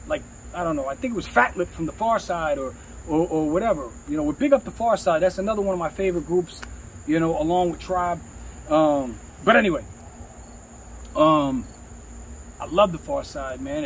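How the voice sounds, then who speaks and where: A man in middle age with an unusually high-pitched voice chats through stories of his rap career. His phrasing is loose, slangy and profane, and he repeats lines for emphasis. There is faint ambient noise in the background. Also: poor audio. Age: middle-aged. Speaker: rapper telling career stories. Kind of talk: casual storytelling chat.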